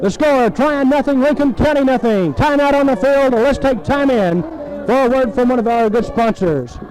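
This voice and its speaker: A man's voice: very high voice, moderate pace, low-quality recording. A man calls live football play-by-play on a 1970s radio broadcast, in clipped phrases full of names and numbers.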